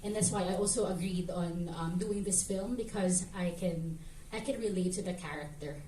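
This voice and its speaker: Hesitant Filipina actress, mellow tone. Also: female voice, lowish voice, moderate pace, a little hiss or hum. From Philippines. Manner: hesitant, reflective, many fillers. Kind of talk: festival Q&A answers. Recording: echoey room, mic at a distance.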